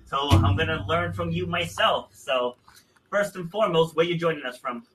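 Bright-voiced chatty podcast host man. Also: a little high, normal speed, recorded from a distance, soft background music, low-quality recording. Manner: chatty, self-correcting with laughs. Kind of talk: casual video podcast interview.

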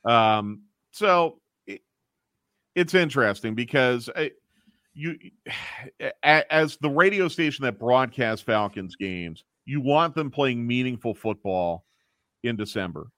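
A man's voice: mid-range voice, expressive, slow pace, fairly bright tone, no room sound, studio quality. A man who runs a radio station's programming, talking casually on air and thinking aloud with restarts.

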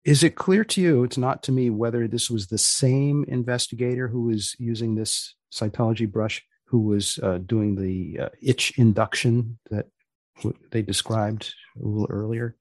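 A man hosting a podcast, putting questions to a guest in a deliberate, wry, halting conversational way. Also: mid-range voice, fairly even intonation, darkish tone, no room sound. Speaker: podcast host interviewing a guest.

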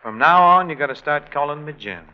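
A man's voice: on the high side, brisk, natural voice. A man playing a folksy easygoing innkeeper. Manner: folksy storyteller, relaxed and jokey. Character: easygoing male innkeeper and singer. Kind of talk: 1940s radio musical. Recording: dull lo-fi 1940s radio recording with orchestra.

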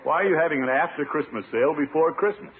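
A man's voice: on the high side, expressive, fast talker, lightly acted. Guy doing pompous jolly middle-aged bigwig, old radio sitcom, swoopy blustery voice, tinny n a bit noisy. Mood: mildly flustered. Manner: blustery, chuckling, exclamatory. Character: pompous, jolly middle-aged small-town water commissioner. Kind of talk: 1950s radio situation comedy acting.

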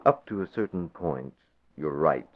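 A composed newspaper publisher who questions with quiet, pointed precision. The voice sits low and measured in classic 1940s radio-drama delivery. The old recording is thin and tinny, like a telephone line. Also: male voice, expressive, full character voice. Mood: probing. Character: cool, probing male newspaper publisher.

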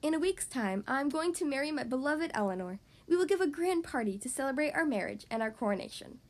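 An eager young male elephant king, voiced by a woman reading a picture book, speaks with excitement and warmth. The voice sits fairly high and lightly lifted. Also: quick pace.

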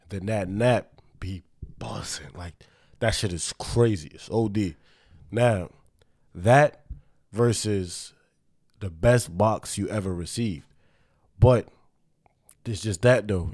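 Laid-back smooth-voiced man, low-pitched. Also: darkish tone. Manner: laid-back, joking storyteller. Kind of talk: casual chat.

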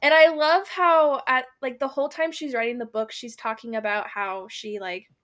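A woman's voice: sits high, steady tone, moderate pace, fairly bright tone. A woman co-hosting a book club podcast, talking casually and chattily, often saying "like" as she goes.

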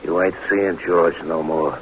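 Very deep blunt war-weary soldier. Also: male voice, fairly even intonation, a little slow, fairly bright tone, slight background noise, rough recording. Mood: grim. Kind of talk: 1940s radio acting.

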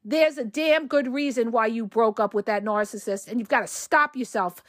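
Hoarse woman giving blunt relationship advice. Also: fairly high pitch, moderate pace, dry recording, studio quality. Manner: direct, emphatic, keeps checking in with the listener. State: hoarse from a cold. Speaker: relationship coach and podcast host. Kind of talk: casual advice monologue.

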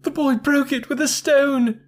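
A man voicing a frightened small female bird with shrill, panicky cries. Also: fairly even intonation, unhurried. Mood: frantic.

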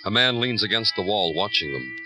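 Brooding male radio-noir detective, lowish voice, dramatic poetic delivery, loud music behind. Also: very animated, poor audio. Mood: somber, poetic. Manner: dramatic, deliberate, florid narration. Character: world-weary, brooding male New York homicide detective narrator. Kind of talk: 1950s radio noir acting, poetic narration.

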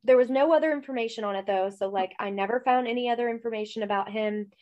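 A woman's voice: on the high side, quick pace, darkish tone, highs cut off. A woman co-hosting a true-crime podcast, chatting casually with a stuffy nose, rambling with lots of fillers and laughs. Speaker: podcast co-host.

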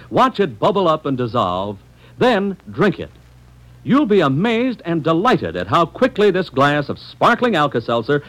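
A male announcer delivers a scripted classic radio commercial. His voice sits a little high and moves animatedly, with a polished, friendly sales manner aimed straight at the listener. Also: a bit bright, poor audio. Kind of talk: old-time radio advertisement, scripted.

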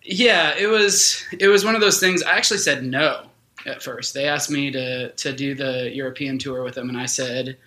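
A man's voice: medium pitch, normal speed, bright, forceful tone. A man of twenty-eight, telling stories as an interview guest in a loose, colloquial way. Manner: colloquial, informal, frequent "like" and "dude". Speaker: guest, band vocalist. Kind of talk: casual interview storytelling.

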